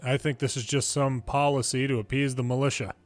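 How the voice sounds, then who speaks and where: Man, medium pitch, dramatic read-aloud of game script, soft background music. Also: flat delivery, a little fast. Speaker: video game commentator reading subtitles aloud.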